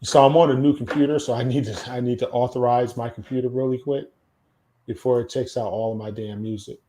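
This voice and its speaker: A man's voice: mid-range voice, fairly even intonation, a little fast, mellow, a little hiss or hum. An American man co-hosting a podcast in casual music chat, often repeating his own phrases as he talks. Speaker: podcast co-host.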